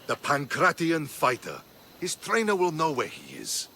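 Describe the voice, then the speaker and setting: Earnest, forceful Spartan soldier. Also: male voice, on the high side, huge pitch swings, measured pace, slight background noise, full character voice. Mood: steady. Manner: respectful, earnest. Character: loyal, diplomatic Spartan soldier, earnest and steady. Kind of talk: video game cutscene acting.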